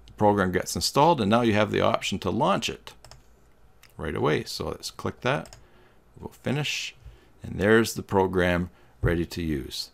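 A middle-aged man giving a step-by-step software tutorial in a plain, instructional manner. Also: on the low side, animated, moderate pace, gravelly. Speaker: tutorial host. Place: small indoor room with green screen.

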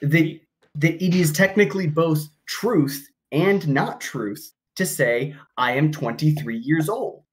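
A man's voice: fairly high pitch, takes it slowly. A man in his twenties on a voice call, laying out his argument step by step. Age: 20s. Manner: methodical, argues step by step. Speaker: debate caller. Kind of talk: casual debate. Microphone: voice call mic.

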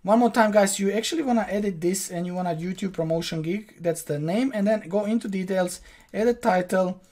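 A man's voice: high voice, brisk, a little mellow. A young man from Croatia with a Croatian accent, walking through a screen-share tutorial in a casual, filler-heavy way from his home office. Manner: casual, many fillers and restarts. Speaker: online course instructor.

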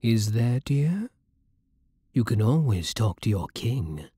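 A British man playing a courtly, possessive vampire king in an intimate ASMR roleplay, silky, formal and commanding with quiet menace. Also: medium pitch, sing-song, slow pace, dry recording, full character voice. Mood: quietly menacing. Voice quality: breathy, husky.

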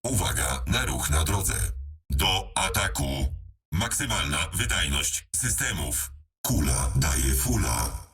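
A man playing a robotic battle mech computer that announces military status in clipped, deliberate phrases through machine-like processing. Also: a bass voice, very animated, a bit bright, breathy edge, recorded from a distance, heavily acted. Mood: coolly commanding. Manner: terse, deliberate machine-like delivery.

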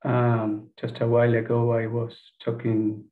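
Narrow, phone-like headset audio carries a man in his fifties with an Argentine accent. A native Spanish speaker, he delivers careful, halting remarks slowly and in a monotone. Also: mid-range voice. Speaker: audience member making a comment. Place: indoor room on a video call. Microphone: headset boom microphone.

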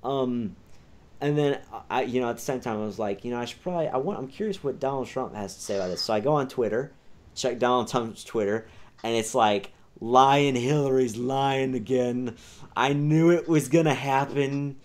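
A man's voice: mid-range voice, brisk. A man talking casually as a podcast host, in a rambling way.

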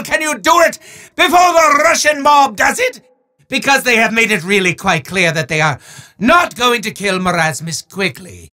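An actor playing a maniacal, bombastic male evil wizard in a video game, grandiose and theatrical, with booming declarations and quicker asides. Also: sharp tone, exaggerated voice.

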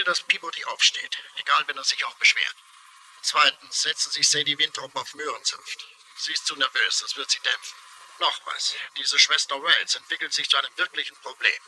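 Blecherner Arzt vom Anrufbeantworter. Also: male voice, fairly high pitch, bright, forceful tone. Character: businesslike male doctor dictating curt instructions. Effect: answering-machine tape playback. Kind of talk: dubbed crime drama answering-machine message. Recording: tinny, noisy answering-machine tape.

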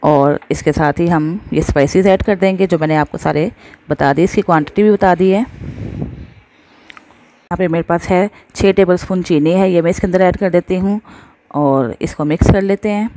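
Lady doing a cooking voice-over, low mellow voice, keeps saying look viewers. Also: normal speed. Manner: friendly, addresses viewers often, mixes in English words. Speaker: cooking channel host. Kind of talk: step-by-step recipe voice-over.

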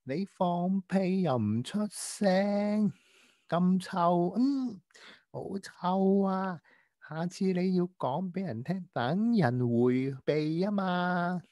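A man plays a prim, well-behaved boy in a children's radio drama. His pitch is lifted and his delivery is slow, halting and patiently instructive, in a dry recording. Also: lively intonation, dark tone, no echo, exaggerated voice. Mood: complaining. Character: prim, well-behaved young boy who gently lectures his friend.

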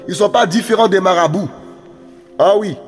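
A man's voice: high-pitched, normal speed, in a large hall, soft background music. A man preaching an impassioned sermon, repeating phrases and calling out hallelujahs. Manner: repetitive, exhorting, calls out "Alléluia". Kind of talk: impassioned street-style sermon.

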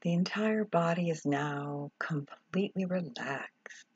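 A low, breathy woman's voice leads a guided meditation at an extremely slow pace. She speaks calmly in short, measured phrases and leaves long pauses between them. The recording is a little dull. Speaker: yoga relaxation instructor. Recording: dull, roomy, echoing sound.

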